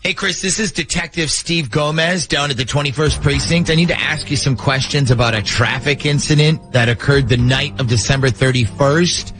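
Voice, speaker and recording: A man's voice: a little high, normal speed, hardly any pauses, a bit bright, faint music behind. A man hosting a morning radio show, talking through a prank call segment.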